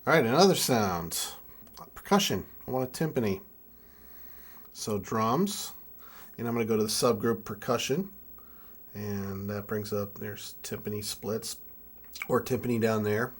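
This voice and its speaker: A man in his twenties giving a casual hands-on tutorial, thinking aloud in a halting way as he works. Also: fairly low pitch. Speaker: music keyboard tutorial presenter.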